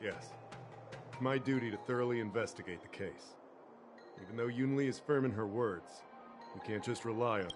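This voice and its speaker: A man's voice: medium pitch, normal speed, long pauses, close to an everyday voice. Man playing a formal investigator over music. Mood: businesslike. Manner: formal, analytical. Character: methodical, dutiful male investigator presenting a case. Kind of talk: scripted game dialogue. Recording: loud background music under voice.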